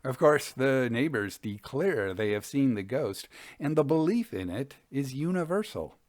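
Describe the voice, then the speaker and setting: A man hosting and reading old ghost stories as scripted narration, in a measured storytelling manner. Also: medium pitch, big pitch swings, no echo, clean studio sound.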